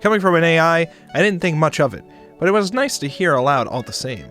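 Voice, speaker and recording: A man's voice: on the high side, big pitch swings, fast talker, fairly bright tone, soft background music, pitched above the performer's own voice. A man voicing a wry, broke, laid-back space shuttle passenger in a visual novel, speaking casually. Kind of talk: visual novel character reading.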